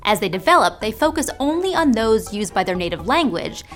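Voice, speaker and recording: Lively woman narrating a science show. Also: a little high, a little fast, faint music behind. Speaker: host.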